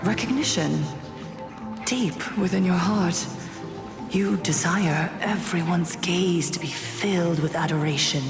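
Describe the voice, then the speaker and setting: A woman playing an eerie, seductive masked prophetess in a video game cutscene, with a breathy, hypnotic delivery that often sinks toward a whisper. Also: on the low side. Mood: seductive, knowing. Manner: slow, hushed, hypnotic, savoring each phrase. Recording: voice over prominent music.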